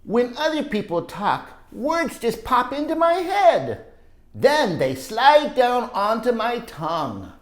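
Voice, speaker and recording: A woman voicing an excitable, impatient young schoolboy in a picture-book story, with exuberant delivery. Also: fairly high pitch, slow. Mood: self-important, earnest. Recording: roomy echo.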